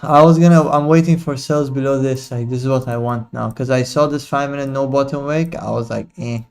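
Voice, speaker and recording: A man's voice: mid-range voice, steady tone, a little fast, vocal fry, a little mellow, clear, resonant tone, soft background music. A young man hosting a live trading stream, chatting casually in slang and teasing his viewers. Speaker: livestream trading host. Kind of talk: casual live commentary to chat.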